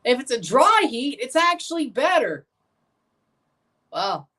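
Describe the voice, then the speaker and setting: A woman's voice: high voice, big pitch swings, slow pace, fairly bright tone. A woman hosting a livestream watchalong, giving casual live football commentary and chatting with viewers, often repeating and correcting herself.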